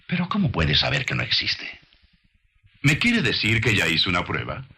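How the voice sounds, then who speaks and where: Deep-voiced man narrating, lively and bright. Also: breathy edge. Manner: didactic, deliberate, rhetorical questioning. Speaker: documentary narrator and preacher. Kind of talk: scripted narration with sermon-like delivery.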